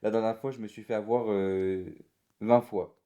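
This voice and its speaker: A man's voice: lowish voice, fairly even intonation, normal speed, mellow. A young man co-hosting a casual podcast, goofy and self-mocking, laughing easily at himself. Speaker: podcast co-host. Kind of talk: casual chat.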